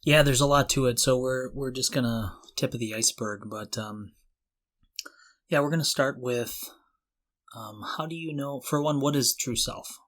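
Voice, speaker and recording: A man's voice: mid-range voice, normal speed, a bit bright. A man co-hosting a conversational podcast, talking earnestly and a little tentatively. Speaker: podcast co-host.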